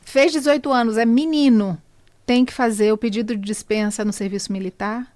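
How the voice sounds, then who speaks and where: A middle-aged Brazilian woman speaking Brazilian Portuguese as a podcast guest, explaining things deliberately and stressing key words. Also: fairly high pitch, fairly even intonation, a little mellow, dry recording. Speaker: podcast guest, consular service provider. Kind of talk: conversational interview, explaining.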